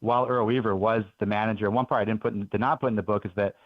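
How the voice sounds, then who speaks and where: A man's voice: on the low side, fairly even intonation, racing, dry recording. A man in his forties, from Belgium, telling stories as a guest author in an earnest, enthusiastic way on a radio interview. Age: 40s. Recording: dull lo-fi radio audio.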